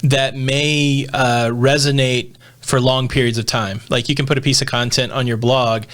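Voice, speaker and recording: A man's voice: medium pitch, little pitch movement, normal speed, fairly bright tone. A man hosting a podcast interview who asks long, rambling questions. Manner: thoughtful, rambling questions with fillers. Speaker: podcast host. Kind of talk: conversational interview.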